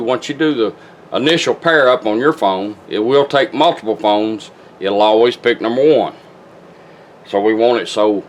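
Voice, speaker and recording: A man's voice: lowish voice, a little hiss or hum. Folksy man giving a casual product demo. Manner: folksy, plainspoken, instructional. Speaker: car radio restorer demonstrating a product.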